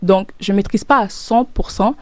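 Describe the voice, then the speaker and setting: A woman's voice: mid-range voice, normal speed, a little mellow, no echo. A young woman from Cameroon hosting a casual podcast panel, upbeat and laughing often as she hunts for words. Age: young adult. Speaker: podcast host.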